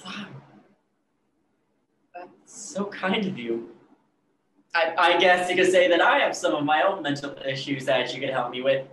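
A man's voice: on the high side, unhurried, long pauses, fairly bright tone. In an echoey room with some noise, a young man acts an egotistical tortured artist. He talks conversationally and defensively, as in a play reading. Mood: casual, self-regarding. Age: young adult. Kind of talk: virtual staged play reading. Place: bare painted-wall room, video call. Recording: echoey room with background hiss.

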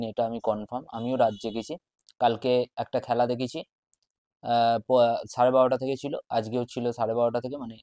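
A young man in his twenties talks casually to camera with a West Bengal Bengali accent. His voice sits at a medium pitch and comes in short, halting phrases. It is picked up by a lapel mic, close and dry, with little room sound. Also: mellow, no echo. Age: 20s. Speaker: fitness vlogger. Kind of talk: casual vlog chat to camera. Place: small bedroom at home, indoors. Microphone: wireless lapel mic.

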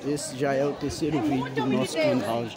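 Male market vlogger, friendly chatter with vendors, phone mic, loud crowd behind. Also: mid-range voice, flat delivery, fairly quick. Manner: chatty, greets vendors, calls prices. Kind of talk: casual walking vlog. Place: outdoor street market.

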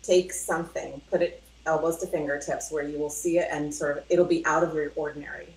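A woman's voice: sits low, steady tone, brisk, a little mellow. A middle-aged woman speaking on a video call, explaining in a conversational coaching style. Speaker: guest giving mindfulness advice. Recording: roomy video call with faint hum.

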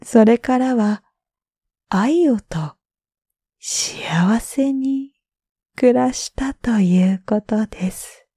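Woman reading sleepy bedtime tales, soft breathy mellow voice, super gentle, dry recording. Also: on the high side, expressive, dry recording. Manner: gentle, drawn-out, breathy phrase endings. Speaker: storyteller, freelance announcer. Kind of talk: bedtime story narration for sleep.

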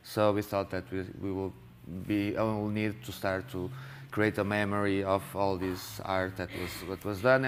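Man in his thirties, workshop leader, gravelly voice, somewhat low, halting delivery. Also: fairly bright tone. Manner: halting, restarts often. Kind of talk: masterclass talk. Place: classroom with projector.

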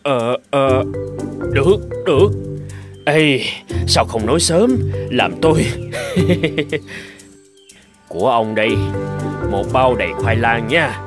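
An actor playing a greedy, foolish poor peasant in a cartoon folk tale, whining and grumbling. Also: male voice, medium pitch, lively intonation, takes it slowly, long pauses, music in the background. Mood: gleeful, scheming.

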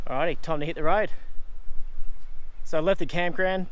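Man in his thirties, relaxed trail vlogger, slightly high voice, camera at arm's length outdoors. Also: normal speed, low-quality recording. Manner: relaxed, chatty, lots of "um" and "yeah". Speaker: solo four-wheel-drive travel vlogger. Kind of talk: casual on-the-road narration and trail guidance. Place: outdoors in bush tracks, beside and inside his vehicle. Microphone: camera held at arm's length.

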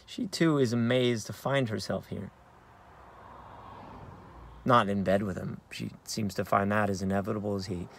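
A man in his thirties with an American accent, an actor reading a play as conversational, halting narration with uneven emphasis, recorded inside a car. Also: fairly low pitch, fairly even intonation, gravelly. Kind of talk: dramatic narration of a play read on book. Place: inside a parked car in daylight.

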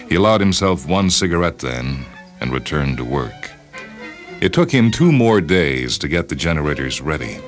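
Male narrator, extremely low bass voice, for dramatic short-story reading with deliberate pauses, music underneath. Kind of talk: scripted narration of a science fiction short story. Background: music behind the voice.

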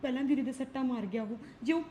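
A woman plays a frightened young teacher who protests and pleads through tears. She speaks with a high voice. There is a faint noise behind her. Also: very fast, dark tone, close to an everyday voice. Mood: tearful pleading. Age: young adult.

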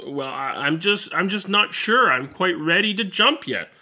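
A man's voice: sits high, animated, normal speed, pushed and bright, voice pushed up, full character voice. A man voicing a nervous, stalling sheep in a bedtime storybook, hesitant and stammering.

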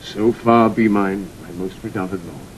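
Man playing a low-voiced, formal rebel lord. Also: natural voice, low-quality recording. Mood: courteous, firm. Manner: formal, measured. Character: ambitious, formally courteous rebel nobleman. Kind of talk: classical Shakespearean audio drama acting. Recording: old 1960 recording, faint rumble.

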